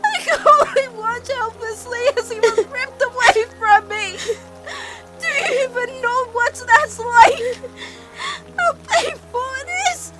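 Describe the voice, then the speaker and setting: A woman's voice: very high voice, slow pace, over music, rough recording. A woman voicing a guilt-ridden fourteen-year-old girl in an emotional cartoon fan dub, blaming herself with dramatic intensity. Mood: anguished outburst. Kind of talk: animated-series fan dub drama acting.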